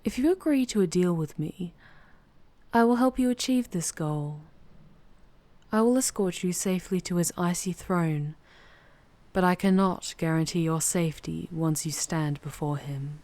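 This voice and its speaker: A woman plays an ancient, proud demoness with a low, velvety dark voice. She speaks in formal, deliberate phrases, charming and teasing yet commanding. It is an intimate, very dry ASMR roleplay recording. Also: no room sound, close to an everyday voice. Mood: bargaining, solemn. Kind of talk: ASMR roleplay, scripted audio drama.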